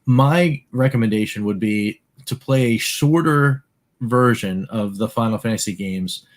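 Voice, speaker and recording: A man with a lowish voice co-hosting a casual podcast from a home desk mic. He explains at an easy, relaxed pace, filling gaps with "um" and "like". Nothing is rushed or performed. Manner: relaxed, explaining with many fillers. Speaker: podcast co-host. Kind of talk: casual chat.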